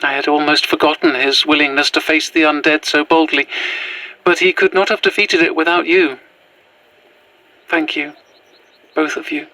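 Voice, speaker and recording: An actress playing a formal Victorian woman's spirit in a Gothic audio drama, with measured old-fashioned diction. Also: low-pitched, fairly even intonation, pushed and bright, dry recording, low-quality recording. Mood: formal, grateful. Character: formal, dignified Victorian woman spirit possessing another's body.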